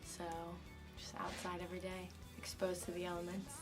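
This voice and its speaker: A woman's voice: lowish voice, completely flat delivery, brisk, recorded from a distance, over music. A young woman in her twenties from Connecticut, a band singer chatting casually in an interview, with lots of "like". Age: 20s. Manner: chatty, filler-heavy with frequent "like".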